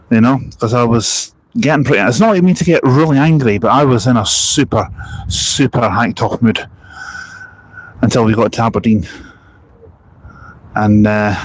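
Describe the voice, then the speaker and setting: A chatty young man talks on a live stream from outdoors at a bus park and ride. His voice sits in a middling pitch. He rambles loosely between anecdotes, remarks on the buses going by and answers viewer comments. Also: slightly rough, rough recording. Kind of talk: casual live-stream chat.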